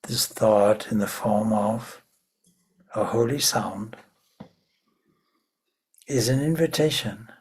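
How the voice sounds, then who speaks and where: A man with a very husky, breathy voice leads a guided meditation at a very slow pace. His pitch sits on the low side, and he speaks in a hushed, measured way, leaving long pauses between short phrases. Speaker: meditation teacher, swami.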